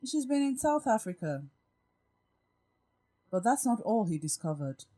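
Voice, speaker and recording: A woman's voice: fairly high pitch, very animated, measured pace, mellow, low-quality recording. A woman playing a poised, self-assured, guarded woman who speaks with composure and deliberation in an audio drama. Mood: businesslike, informative. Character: Gabrielle, poised, self-assured woman behind emotional walls.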